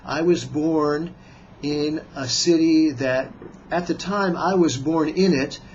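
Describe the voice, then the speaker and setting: An American man in his fifties, a writer introducing himself on his video channel in a slow, measured way, with frequent hesitant pauses. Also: on the high side, a little mellow, highs cut off, a little hiss or hum. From United States. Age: 50s. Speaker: writer and vlogger. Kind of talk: self-introduction to camera.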